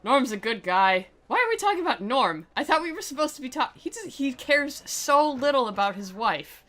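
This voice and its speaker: A woman's voice: high-pitched, big pitch swings, normal speed, a bit bright. A woman giving sarcastic, theatrical commentary as she plays and reads aloud from a video game, in a mocking, giggle-prone way. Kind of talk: sarcastic playthrough commentary with dramatic reading.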